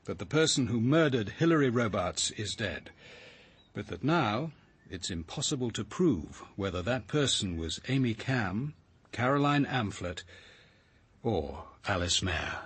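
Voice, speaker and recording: An actor playing a grave, measured male detective in a radio drama, speaking in an understated, literary way. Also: on the low side, very animated, slightly rough, no room sound, exaggerated voice. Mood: dry, reserved. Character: grave, measured male detective narrating his own ordeal. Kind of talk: first-person radio drama narration and dialogue.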